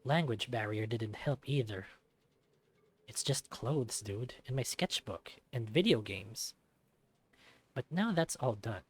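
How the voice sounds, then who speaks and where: A man's voice: medium pitch, lively intonation, slow pace, pauses often. A man voicing a self-deprecating young male otter, narrating a visual novel in the first person with wry self-mockery. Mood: mildly weary.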